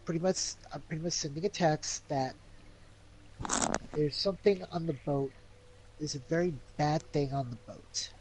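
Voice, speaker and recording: Guy roleplaying on a stream, slightly high voice, halting, thinking out loud, faint noise behind. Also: rough recording. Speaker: tabletop game player. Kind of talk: casual in-character roleplay.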